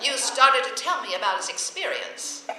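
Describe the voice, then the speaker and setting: An actress playing a quick-witted, long-suffering schoolteacher in a vintage radio comedy, delivering dry, sardonic wisecracks with crisp timing. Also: female voice, on the high side, animated, lightly acted. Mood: patient, prodding. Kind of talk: vintage radio comedy acting before a laughing audience. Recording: echoey old radio stage recording, faint noise.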